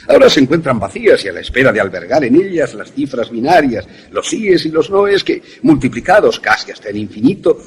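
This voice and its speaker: A man playing an obsessed, grieving widowed professor in a suspense radio drama, with a husky voice and formal, measured phrasing. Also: mid-range voice.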